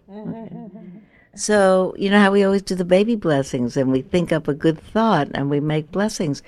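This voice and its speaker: A woman in her sixties, a meditation teacher, chatting informally and laughing with her group. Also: on the low side. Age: 60s. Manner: warm, chatty, laughing often. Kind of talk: informal talk to a retreat group.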